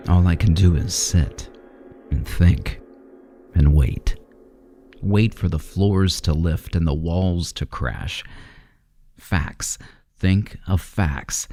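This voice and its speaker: A low-voiced, haunted chemist, acted by a man in an old-time radio horror play. He talks very slowly and deliberately in a close, dry sound. His manner is brooding and domineering, circling back over his own phrases. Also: mellow, no echo. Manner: deliberate, repetitive, brooding monologue.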